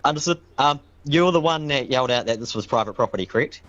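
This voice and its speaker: A man in online roleplay as a police officer, talking casually and procedurally with hesitant pauses. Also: medium pitch, expressive, fairly bright tone. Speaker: player voicing a police officer. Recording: dull game voice chat, busy chatter.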